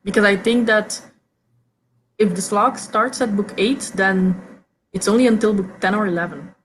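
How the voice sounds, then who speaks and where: A young woman from Belgium whose first language is Dutch, hosting a casual reading chat over a video call, chatty and quick to stumble over her first words. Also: medium pitch, slight background noise, rough recording. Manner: chatty, repeats words when starting sentences, laughs often. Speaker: host of reading sprints. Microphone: video call mic.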